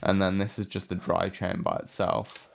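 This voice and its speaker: A man giving a casual software tutorial in a hesitant, offhand, unscripted way. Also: sits low, level tone, normal speed, gravelly, over loud music. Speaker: music production tutorial host. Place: indoor room with a window.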